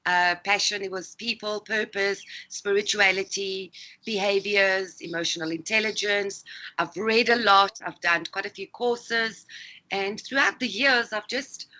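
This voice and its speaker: A woman's voice: medium pitch, fairly even intonation, measured pace, sharp tone, rough recording. A woman from Greece, a native Greek speaker, talking as a life coach guest in a casual interview, in a reflective storytelling manner.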